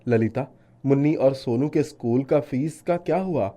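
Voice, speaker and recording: A man voicing a devout, worried shopkeeper and devoted son in a story, speaking earnestly and gently. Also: medium pitch, normal speed, natural voice. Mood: gentle, caring. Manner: earnest, soft-hearted. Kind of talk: animated moral story dialogue.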